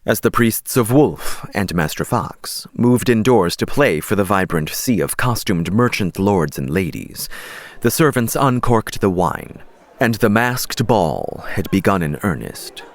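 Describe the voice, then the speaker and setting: A man's voice: fairly low pitch, expressive, a little slow, dry recording. A man narrating an audiobook story in a dramatic, scripted reading style.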